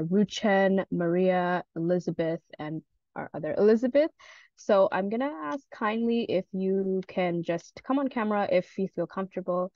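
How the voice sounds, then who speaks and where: Woman, lowish voice, informal panel facilitator, polite, self-deprecating, dull online call audio, dry sound. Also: a little mellow, no echo. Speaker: panel facilitator, postgraduate student. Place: online video meeting.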